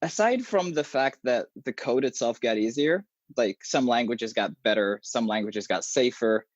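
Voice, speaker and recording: A young man whose first language is Arabic chats as a guest on a video call, talking with his hands and restarting his phrases as he thinks aloud. His voice sits at an ordinary pitch, and it comes through dry and a little dull over earbuds in a small room. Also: normal speed, no echo. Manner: animated, gestures a lot, many restarts. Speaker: guest software engineer. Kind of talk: casual interview talk.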